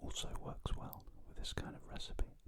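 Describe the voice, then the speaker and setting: A cooking host whispering a gentle, unhurried ASMR narration in a breathy, unvoiced voice, with pauses between phrases.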